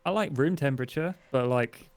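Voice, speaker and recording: A man playing a clueless, easygoing young stoner vampire in a tabletop game, with a casual, halting manner. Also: medium pitch, crowd noise, natural voice. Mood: nonchalant. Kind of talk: in-character tabletop roleplay.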